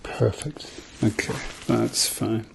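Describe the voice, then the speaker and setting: A man speaking softly to the listener as a doctor in an ASMR medical roleplay, murmuring reassuringly and often slipping into whispers. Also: fairly low pitch, level tone, slow pace, creaky, background noise. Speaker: ASMR performer playing a doctor.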